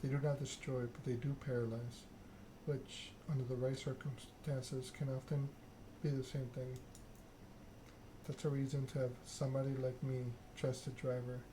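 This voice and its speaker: A man's voice: mid-range voice, level tone, moderate pace, noisy background. A man doing an ASMR read-aloud of a narrative game, occasionally stumbling over the written text. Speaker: gaming channel host.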